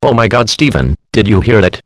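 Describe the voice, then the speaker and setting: A man's voice: on the low side, big pitch swings, fairly quick, no room sound. A man playing a newsroom staffer in scripted horror drama dialogue. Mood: alarmed.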